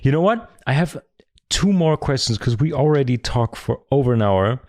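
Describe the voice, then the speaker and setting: A man's voice: medium pitch, animated, normal speed, a little mellow, no echo, studio quality. A man hosting a podcast, upbeat as he sums up the talk and asks his guest closing questions. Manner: upbeat, wrapping up with summaries and questions. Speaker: podcast host. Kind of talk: casual interview chat. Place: podcast studio.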